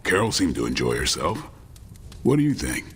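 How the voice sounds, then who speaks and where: Deep-voiced gruff vampire warrior. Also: male voice, huge pitch swings, moderate pace, long reverb tail, a little hiss or hum, heavily acted. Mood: sheepish. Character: gruff male vampire warrior, dry, secretly smitten book club founder. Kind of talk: video game voice acting.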